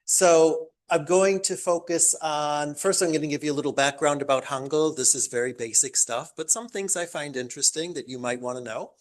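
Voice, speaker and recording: A man's voice: a little high, normal speed, few pauses. An older American man giving a chatty academic lecture to a live audience, with joking, self-deprecating asides. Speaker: guest lecturer. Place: lecture room.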